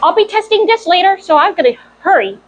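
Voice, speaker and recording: A woman's voice: very high-pitched, lively intonation, fairly quick, a little hiss or hum. A woman voicing a playful, clumsy female cartoon mouse who is an apprentice sorceress, chatting to herself in a role-play video. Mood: chatty, musing. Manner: chatty, thinking aloud in short exclamations. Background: faint music.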